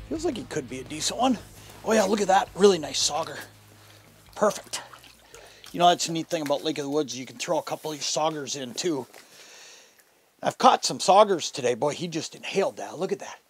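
A middle-aged man giving casual, instructional fishing commentary in a folksy, enthusiastic, conversational way. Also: on the high side. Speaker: fishing show host. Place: inside a fabric ice-fishing shelter.

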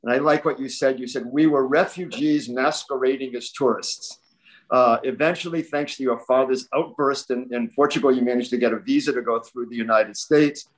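A man's voice: medium pitch, steady tone, moderate pace, rough recording. An older man interviewing an author on a video call, talking conversationally in long, admiring, rambling questions. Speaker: interviewer, event host. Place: book-lined home library, indoors. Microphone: video call computer mic.

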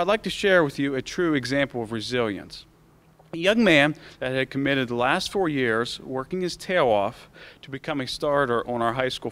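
A man's voice: mid-range voice, fairly bright tone, clear, resonant tone. A man in his late teens with an American accent, delivering a prepared commencement speech as a student speaker into a podium microphone. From United States. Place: school auditorium stage.

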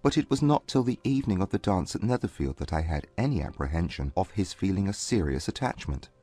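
An actor playing a proud, haughty young Regency gentleman in a dramatized audiobook, speaking in a formal, composed, measured way. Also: sits low, big pitch swings, no room sound. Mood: measured explaining. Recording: dry close recording with music behind. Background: music.

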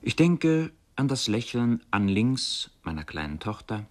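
An actor playing a reflective man from a poor village, telling his memories in a measured literary radio monologue. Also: medium pitch, very animated, noisy background. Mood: contemplative, wistful.

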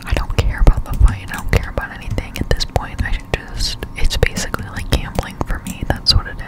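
Young female ASMR gamer: breathy close-up whisper, unhurried, casual and self-deprecating rambling over gameplay. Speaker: gameplay streamer. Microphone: close-up studio USB microphone.

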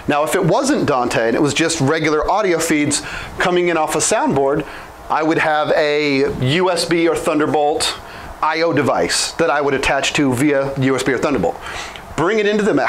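Higher-pitched man teaching informally. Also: a little hiss or hum. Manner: conversational, explains step by step. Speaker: instructor. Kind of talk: informal classroom-style technical explanation. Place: small indoor office room with whiteboard. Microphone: lapel mic.

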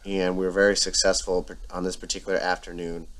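Man, deep voice, flat delivery, calm voice-over narration, explains tackle with ums. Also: fast talker, rough recording. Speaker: angler and channel host. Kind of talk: voice-over narration of fishing video.

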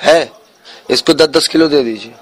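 A man's voice: fairly high pitch, a little slow. A man making a prank phone call, talking casually in an insistent, poker-faced manner. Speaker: prank caller posing as a medical store customer.

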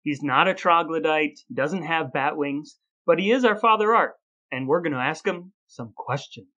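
A man's voice: on the high side, moderate pace, bright, forceful tone, muffled recording. A man co-hosting a podcast, moving between banter and an upbeat scripted delivery. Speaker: podcast co-host.

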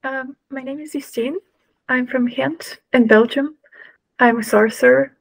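A woman's voice: sits high, fairly even intonation, unhurried, vocal fry, no echo, low-quality recording. Casual woman in a roleplay game. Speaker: tabletop roleplay player. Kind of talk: casual in-character tabletop roleplay chat. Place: indoors on a video call.

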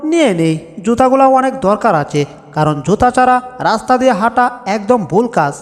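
Excitable, very high-pitched cartoon tomcat. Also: male voice, brisk. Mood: excited, smitten. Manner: exclaiming, talking to himself, theatrical. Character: excitable, boastful, lovestruck male cartoon cat. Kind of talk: Bengali cartoon dub comedy. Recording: long reverb with music.

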